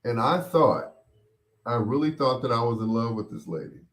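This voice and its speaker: A middle-aged man hosting a casual show, chatting and reminiscing in a rambling way, often pausing and repeating himself as he replies to listeners. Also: medium pitch, lively intonation. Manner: unhurried, rambling, with pauses and repeats. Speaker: host of a bedtime stories show. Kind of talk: casual chat, reminiscing and replying to listener comments.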